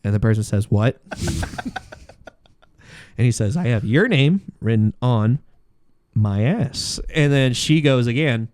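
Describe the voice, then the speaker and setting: A man's voice: mid-range voice, lively intonation, unhurried, pauses often, a little mellow. A man chatting casually on a podcast, telling stories with slangy swearing and acting out bits of dialogue. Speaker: podcast host and comedy show runner. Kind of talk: casual podcast chat, animated storytelling.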